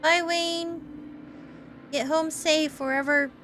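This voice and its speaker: A woman doing a chatty, expressive playthrough, reading a story game aloud and commenting as she goes. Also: sits high, takes it slowly, soft background music. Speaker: gamer host reading a visual novel aloud.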